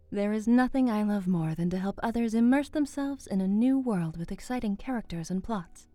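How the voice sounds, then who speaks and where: A woman's voice: mid-range voice, mellow, no echo. A woman who works as a voice actor, giving a scripted personal testimonial. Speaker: voice actor and narrator.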